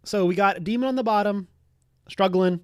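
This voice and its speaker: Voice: medium pitch, a little fast, darkish tone, dry recording, studio quality. A game master running a casual tabletop game in a playful, wry way.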